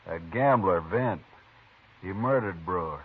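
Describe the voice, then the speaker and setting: A man's voice: deep voice, lively intonation, a little slow, slightly rough, heavily acted. An actor playing a stubborn, vengeful male frontiersman in a 1950s radio drama, blunt and threatening. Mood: menacing. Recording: tinny old radio broadcast with hiss.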